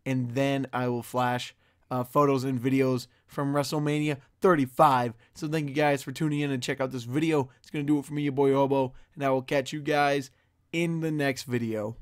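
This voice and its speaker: A hoarse young man talking straight to his viewers in a casual, excited vlog intro, loose and full of fillers. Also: medium pitch, normal speed. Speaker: wrestling fan vlogger. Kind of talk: casual direct-to-camera intro.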